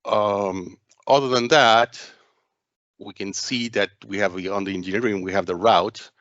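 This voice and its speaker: A man narrating a software demo walkthrough as its presenter, speaking in a halting, hesitant way with frequent stumbles. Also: fairly low pitch, fairly even intonation, no room sound, lo-fi sound.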